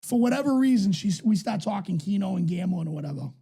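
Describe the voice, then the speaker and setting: A man with a raspy voice, co-hosting a podcast and telling stories in a casual, swearing chat. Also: high-pitched, expressive, fast talker, dark tone. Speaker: podcast co-host.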